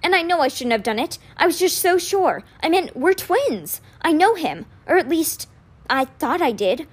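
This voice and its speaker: A woman's voice: extremely high pitch, big pitch swings, normal speed, voice pushed up. A woman voicing an earnest, anxious teenage boy in an audiobook, stammering, pleading and full of guilt. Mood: hurt, miserable.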